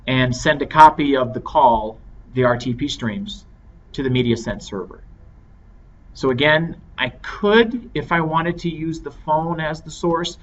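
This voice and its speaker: A man giving a measured, step-by-step software tutorial as an instructor. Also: on the high side. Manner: measured, explanatory step-by-step delivery. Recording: dull lo-fi microphone with clear noise.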